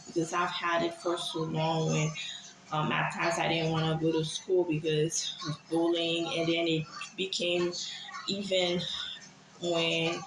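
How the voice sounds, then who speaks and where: A woman from Haiti giving a personal, confessional monologue to viewers in a hesitant, halting way, often repeating herself. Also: low voice, roomy sound, noisy background. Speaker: vlogger sharing personal experience.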